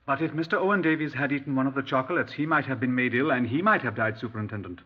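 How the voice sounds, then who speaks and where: An actor playing an earnest, deferential police sergeant with a Welsh accent, solemn and respectful, in 1950s radio drama style. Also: male voice, mid-range voice, very fast, natural voice. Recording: dull old radio recording.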